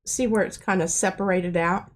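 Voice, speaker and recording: A woman's voice: medium pitch, steady tone, normal speed. A woman hosting a casual art demonstration, chatty and self-deprecating as she works, a bit stuffy-nosed. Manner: chatty, self-deprecating, talks while working. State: stuffy nose. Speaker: craft show host. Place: home art studio.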